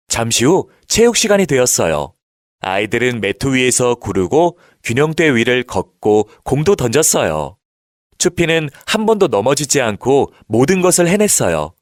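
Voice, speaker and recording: Animated man narrating a children's storybook. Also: medium pitch, no room sound, studio quality. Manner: expressive storytelling for young children.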